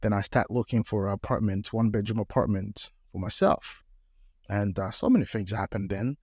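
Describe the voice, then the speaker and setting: A man's voice: lowish voice, normal speed, darkish tone, husky, dry recording, clean studio sound. A middle-aged man from Ethiopia, a panel guest telling a story with many hesitations and fillers. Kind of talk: storytelling in a live podcast panel. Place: live panel event.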